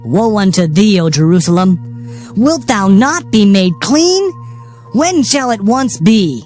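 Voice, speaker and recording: A woman's voice: mid-range voice, big pitch swings, slow, a little mellow, soft background music. A woman narrating dramatized scripture in a solemn, declamatory manner. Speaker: Bible narrator.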